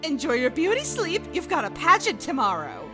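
A woman's voice: high voice, huge pitch swings, moderate pace. A woman voicing a breezy, dismissive trophy-wife mother reading a storybook in a cartoon comic dub. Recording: music behind the voice.

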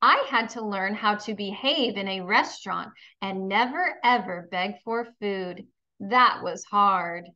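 Female kindergarten teacher, unhurried and bright, encouraging read-aloud delivery for little ones, slightly lo-fi sound. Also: mid-range voice. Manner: encouraging, instructional, addressing young pupils with questions. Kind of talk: children's read-aloud lesson.

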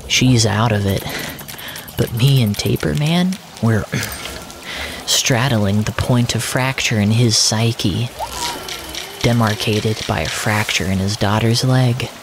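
A man telling a dramatic first-person story in deliberate, drawn-out phrases with frequent pauses. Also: mid-range voice, noisy background. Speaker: narrator of a fiction podcast. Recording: music playing behind the voice. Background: prominent music.

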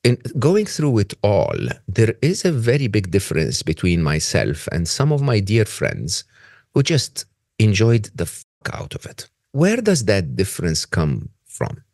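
Man in his fifties, deep voice, lively swinging intonation, candid and reflective, video call. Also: a little slow, dry recording, clean studio sound. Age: 50s. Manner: reflective, candid, trailing off with fillers. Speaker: podcast host. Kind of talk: casual conversational interview. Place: home office with bookshelves.

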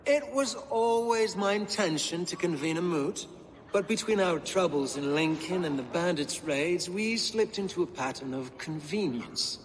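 An actor playing a pompous, pious middle-aged Saxon bishop in a video game, speaking in a formal, measured manner. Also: male voice, high-pitched, expressive, big-room sound, low-quality recording. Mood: formal, persuasive. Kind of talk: video game drama acting.

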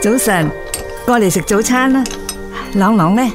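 A woman's voice: medium pitch, animated, moderate pace, over music, lightly acted. An actress playing a loving, understanding older mother and grandmother in a radio drama. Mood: affectionate. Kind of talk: Cantonese radio drama acting.

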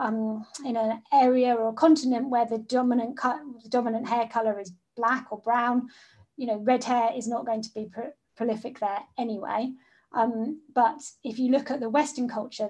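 A woman with a slightly high voice talks casually over a video call from a room at home. She hesitates often and laughs easily while answering questions. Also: a little mellow. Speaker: guest speaker.

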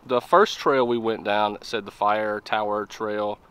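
Southern man, 30s, relaxed trail talk, faint outdoor noise around him. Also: lowish voice, measured pace. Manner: relaxed, easygoing, drawls "fixing to". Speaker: vlogger. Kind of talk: casual hiking vlog chat. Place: outdoors in woods.

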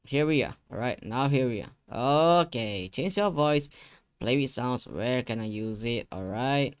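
A young man giving a casual software tutorial, talking himself through each step in an informal, rambling way. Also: medium pitch, expressive, unhurried, clear, resonant tone. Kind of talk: casual screen-share tutorial. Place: indoor living room gaming setup. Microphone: close desktop USB microphone.

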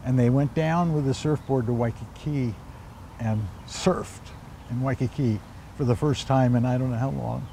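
A man's voice: medium pitch, normal speed, creaky, slight background noise. A man in his sixties from Hawaii, telling family stories as an interview guest outdoors, gesturing as he talks. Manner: animated storyteller, gestures while talking. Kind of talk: storytelling in a conversational interview. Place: outdoors on palm-lined grounds by a church.

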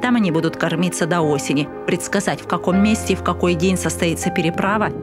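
A woman narrating a news report in a calm, measured, scripted way. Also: fairly low pitch. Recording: voice-over with loud music behind.

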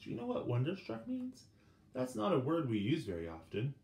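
A middle-aged man reading a children's picture book aloud with dramatic pauses, turning to the listeners with questions. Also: fairly high pitch, wildly swooping pitch, gravelly, a little mellow. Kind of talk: expressive children's storybook read-aloud. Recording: roomy indoor sound with faint background noise.

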